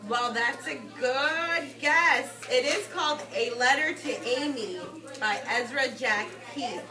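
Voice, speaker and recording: A woman's voice: high-pitched, slow pace, recorded from a distance, crowd noise. A woman teaching young children, reading a picture book aloud and explaining words in simple, instructive phrases with repeated prompts to the class. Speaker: preschool or kindergarten teacher. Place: indoor classroom with carpet. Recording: echoey classroom from afar, children around.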